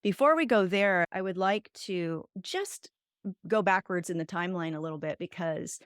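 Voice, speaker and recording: A woman's voice: lowish voice, moderate pace, smooth, no echo, studio quality. A woman hosting a podcast interview, asking long, circuitous questions in a casual chatty way. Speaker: podcast host.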